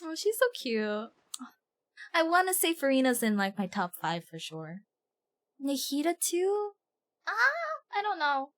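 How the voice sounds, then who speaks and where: A woman hosting a casual game livestream, chatting in a giggly, excitable, gushing way with plenty of swearing. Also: high voice, unhurried, pauses often. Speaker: livestream host.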